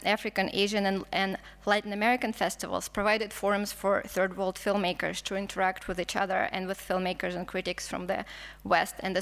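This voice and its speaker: A woman's voice: mid-range voice, fairly bright tone, big-room sound. A woman with a Russian accent giving an academic conference talk, reading with frequent stumbles, restarts and fillers. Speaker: film historian lecturer.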